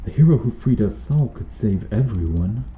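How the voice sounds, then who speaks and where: A man playing a stern medieval knight in an ASMR roleplay, questioning formally and earnestly with dramatic pauses. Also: on the low side, animated, roomy sound, phone-quality sound, close to an everyday voice. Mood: probing, solemn. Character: stern medieval knight interrogating an accused noble witch.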